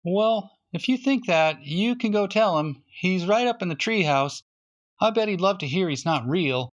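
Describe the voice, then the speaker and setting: A plaintive young storybook boy, played by a man. He is glum yet slyly knowing, with a raised, very changeable pitch. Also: animated, normal speed, highs cut off. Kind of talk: children's storybook reading.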